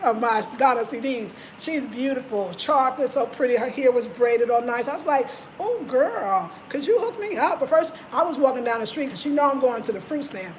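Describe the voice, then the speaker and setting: A woman's voice: on the high side, fairly quick, hardly any pauses, noisy background. An American woman hosting a live stream, chatting animatedly in slang, telling stories and reading out viewer comments. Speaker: host.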